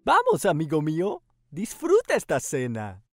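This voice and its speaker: A woman's voice: deep voice, measured pace, faint music behind, heavily acted. A woman voicing a humble, timid, friendly country mouse in an earnest children's cartoon style. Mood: friendly, welcoming. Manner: earnest, expressive. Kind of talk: children's cartoon dub acting.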